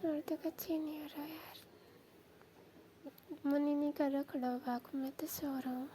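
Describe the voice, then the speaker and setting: A woman's voice: sits high, fairly even intonation, moderate pace, warm tone, close to an everyday voice. A woman playing a coy village sister-in-law on a phone call, with a Rajasthani accent and a languid, teasing manner. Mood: drowsy, dismissive. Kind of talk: staged phone call, casual chat.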